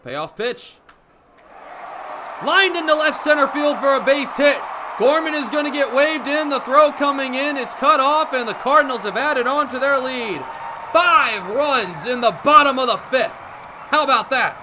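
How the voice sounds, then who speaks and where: A man's voice: very high-pitched, measured pace, noisy background. A young man calling baseball play-by-play for radio, speaking in short clipped phrases as live commentary. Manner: narrates action in short clipped phrases, building to excited calls.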